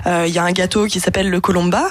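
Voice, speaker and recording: A woman's voice: fairly low pitch, little pitch movement, racing, no echo. A woman giving an informal explanatory radio talk, hesitant and often circling back as she goes. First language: French. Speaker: radio contributor presenting a segment.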